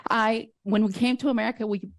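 A woman from Afghanistan giving emotional public comment at a city council, pleading with halting restarts. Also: a little high, steady tone, background noise. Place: council chamber.

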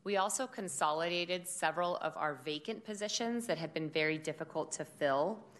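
Low-voiced woman presenting a budget. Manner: loud, steady speaking voice; measured, informative delivery with occasional fillers. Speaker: city department director. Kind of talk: formal budget presentation at a public meeting.

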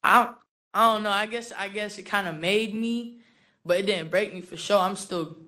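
A young woman speaks informally about her own experience. Her delivery is casual and halting, peppered with "like" as she searches for words. She sounds like a guest giving personal testimony. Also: medium pitch, pushed and bright. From born in the Philippines, raised in San Francisco from age eight. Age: young adult.

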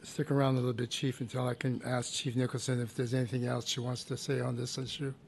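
A man with a gravelly, flat voice runs a commission meeting in a brisk, procedural way. A faint rumble sits under his voice. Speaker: commission president chairing. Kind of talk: chairing a public meeting.

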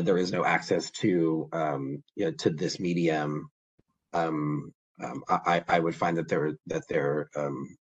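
A man on a public committee who is sick with the flu and losing his voice, speaking haltingly with many fillers. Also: low voice, husky, dull audio. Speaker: committee member. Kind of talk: public meeting discussion.

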